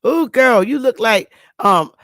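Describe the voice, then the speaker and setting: A woman voicing a nosy, chatty Southern woman who talks in a high, sing-song drawl. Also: lively intonation, slow pace, gravelly, fairly bright tone, no room sound, studio quality, heavily acted. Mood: excited. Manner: gushing and familiar.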